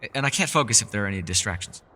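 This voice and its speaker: A man's voice: on the low side, animated, very fast, pushed and bright, big-room sound. A man playing a mysterious, scholarly elf in a fantasy roleplay, with a refined, theatrical and teasing manner. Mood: indignant, defensive. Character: mysterious, scholarly male elf, playful yet guarded. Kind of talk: fantasy ASMR roleplay, scripted character acting.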